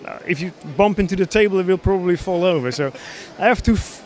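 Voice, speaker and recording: A man in his thirties from the Netherlands with a Dutch accent, explaining his hobby builds in a casual, halting interview. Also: high-pitched. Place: large busy exhibition hall, indoors. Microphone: handheld interview microphone.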